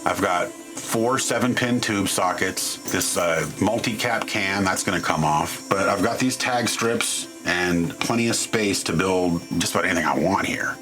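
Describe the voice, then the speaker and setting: A man narrating a hands-on electronics teardown in an informal, wry, self-deprecating and mildly crude way. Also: fairly low pitch. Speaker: host of an electronics teardown channel. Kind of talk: casual hands-on tutorial narration.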